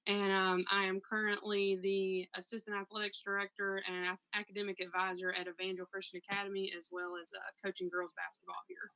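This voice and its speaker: A woman in her thirties, a school coach and administrator, introduces herself. She speaks in a steady, flat tone with a bright, forceful edge. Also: medium pitch, brisk, few pauses, poor audio. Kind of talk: casual self-introduction.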